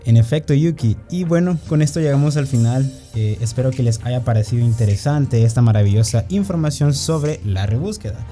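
A man's voice: medium pitch, a little fast, hardly any pauses, dark tone, faint music behind. A man with a Salvadoran accent co-hosting a radio segment, reading prepared notes in a friendly way. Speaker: radio co-host.

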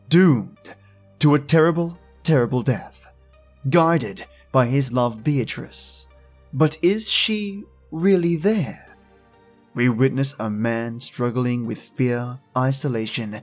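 A man hosting a podcast, giving an enthusiastic scripted introduction in a dramatic storytelling manner with chummy asides. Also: on the high side. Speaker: podcast host.

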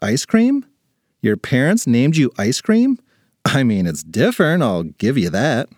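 A man's voice: mid-range voice, big pitch swings, measured pace, a little mellow, dry recording, studio quality. A man voicing a headstrong, sarcastic fifth-grade girl with a dramatic manner in a children's story. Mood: wry. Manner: exasperated, dramatic delivery.

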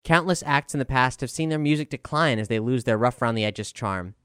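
A man reading a scripted music review as a narrator, with a clear, smooth voice over music. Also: medium pitch, fairly quick, a bit bright, no room sound, clean studio sound.

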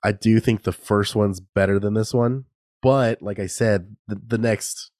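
A man's voice: fairly low pitch, steady tone, unhurried, darkish tone, no room sound, clean studio sound. A young man hosting a casual solo podcast, stuffed up and a bit nasal from a cold, rambling and laughing at himself. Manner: rambling, self-deprecating, laughs often. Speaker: podcast host. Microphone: new podcast microphone.

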